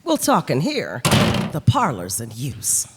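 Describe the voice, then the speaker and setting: A woman's voice: deep voice, huge pitch swings, a little slow, exaggerated voice. A woman playing a big, bullying female saloon boss in a radio play, brash and taunting. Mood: gruff, suspicious. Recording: echoey stage hall, faint noise.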